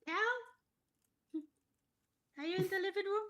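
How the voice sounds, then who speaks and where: A woman's voice: very high voice, fairly even intonation, measured pace, fairly bright tone. A woman playing a tabletop game, improvising her character's actions and lines in a casual style.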